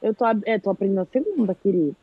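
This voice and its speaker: Brazilian woman, casual live chat guest, husky, high-ish, animated storyteller; dry, dull lo-fi audio. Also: racing. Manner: animated storyteller, acts out quoted speech.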